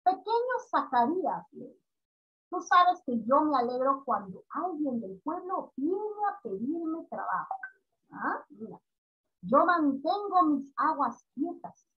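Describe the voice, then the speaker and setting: A woman reading aloud the soft, slow words of a gentle enchanted lake spirit from a storybook. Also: high voice, big pitch swings, lo-fi sound, full character voice.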